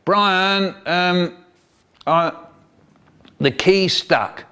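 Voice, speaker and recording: A man's voice: sits high, extremely slow, sharp tone, clear, resonant tone, voice pushed up, exaggerated voice. A man playing a cheeky little boy up past bedtime in a children's story, wheedling and hesitant. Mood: sheepish, weedy. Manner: wheedling, hesitant when in trouble.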